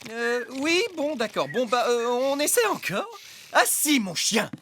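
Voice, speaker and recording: A man's voice: very high-pitched, very animated, unhurried, background noise, exaggerated voice. A man playing a fond, flustered dog owner in a children's cartoon, with a hesitant, stammering delivery. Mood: coaxing. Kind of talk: French children's cartoon voice acting. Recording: cartoon music under the voice.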